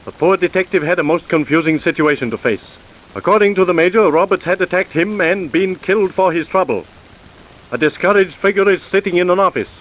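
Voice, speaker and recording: An actor narrating a crime story in the scripted, solemn, dramatic style of old-time radio. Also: male voice, sits high, fairly quick, a bit bright, poor audio.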